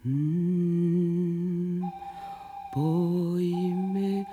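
A woman narrating a radio play in a deliberate, dramatic way, breaking sentences into short phrases. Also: fairly low pitch, flat delivery, music in the background. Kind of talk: slow, dramatic scripted narration with long pauses.

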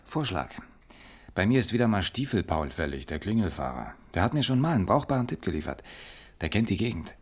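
Wry, low-pitched male detective. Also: big pitch swings, fairly quick. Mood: wry, persuasive. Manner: laconic, wry, colloquial. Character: dry, shrewd male police detective reporting to his chief. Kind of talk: German radio crime play dialogue.

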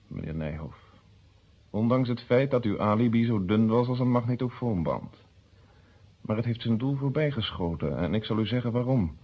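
An actor in a radio play voicing a shrewd, methodical police inspector, speaking in a measured, formal and articulate way. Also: male voice, mid-range voice, breathy edge. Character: male police inspector, shrewd, methodical, quietly triumphant accuser. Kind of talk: Dutch radio drama acting, formal deduction. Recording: dull, muffled old radio recording.

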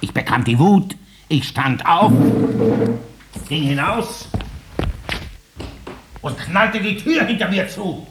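An actor playing a stubborn, put-upon farmer with dry cheek and a husky voice in a 1950s radio drama. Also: male voice, high-pitched, expressive, slow pace, pauses often, very noisy. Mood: defiant.

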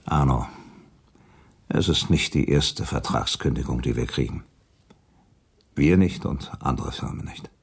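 An actor playing an aggrieved industrial director reasoning insistently with an old friend, gravelly and husky, in a German radio play. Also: male voice, very deep voice, unhurried, long pauses, heavily acted.

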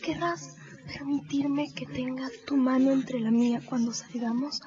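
An actress playing a frightened, tender young woman in a radio drama, with slow, emotional delivery. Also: high-pitched, steady tone, a crowd in the background. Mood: tender, fearful. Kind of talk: Spanish-language radio drama acting. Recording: dull radio recording with music behind.

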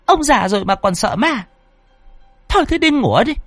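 A man's voice: very high voice, very animated, moderate pace, fairly bright tone, no echo, faint music behind, voice pushed up, full character voice. A man voicing a bossy, scornful older village wife who scolds and gives curt orders in an audio story. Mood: irritated, scolding.

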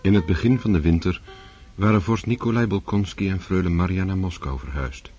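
A man narrating a radio play in a measured, scripted storytelling voice. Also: low voice, a little mellow, soft background music. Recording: dull lo-fi recording.

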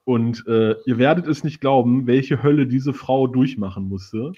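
A husky-voiced man takes part in a loose satirical group talk. He sounds mock-earnest and sarcastic, and the audio is a little dull and muffled. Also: mid-range voice, normal speed. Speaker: panelist on a live talk show. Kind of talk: casual satirical group discussion.

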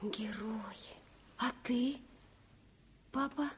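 Young girl, radio drama: high, slow, wide-eyed and earnest. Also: husky, heavily acted. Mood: hushed wonder. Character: young girl, curious, earnest, deeply impressionable. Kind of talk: Soviet radio play acting.

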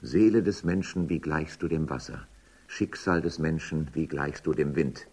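A man's voice: very deep voice, moderate pace, darkish tone, slightly rough. An actor playing a stern, imperious male official in a dystopian radio drama, commanding and declamatory. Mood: solemn reciting. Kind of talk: German radio drama acting.

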